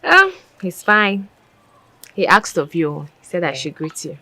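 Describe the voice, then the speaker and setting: A woman's voice: lowish voice, sing-song, measured pace, noisy background. A young woman acting in a film drama as a coy, respectful Nigerian daughter. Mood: coy, reassuring. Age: young adult. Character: coy, respectful engaged daughter, reassuring her mother.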